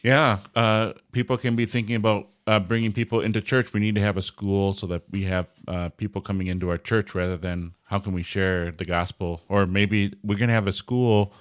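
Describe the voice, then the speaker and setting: A man hosting a casual religious podcast, talking in a halting way with many fillers and restarts. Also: lowish voice, fairly even intonation, clean tone. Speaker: podcast host.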